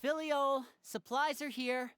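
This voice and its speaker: A woman's voice: high-pitched, steady tone, takes it slowly, a bit bright. A woman voicing a courteous, composed teenage boy in an anime game, polite and reassuring. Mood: genial.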